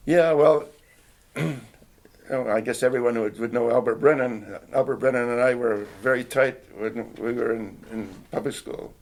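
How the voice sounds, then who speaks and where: A man's voice: mid-range voice, fairly even intonation, moderate pace, a little mellow. An older man whose first language was Finnish, recalling his childhood in a hesitant, reflective oral history interview. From Canada. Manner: hesitant, reflective, frequent restarts and throat clearing.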